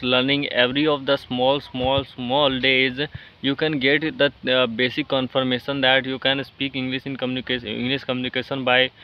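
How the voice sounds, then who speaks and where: Halting Indian man with flat delivery. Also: medium pitch, clear, resonant tone, muffled recording. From India, Jharkhand. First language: Hindi. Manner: halting, many fillers and restarts. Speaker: English-learning vlogger. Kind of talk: casual motivational talk to camera.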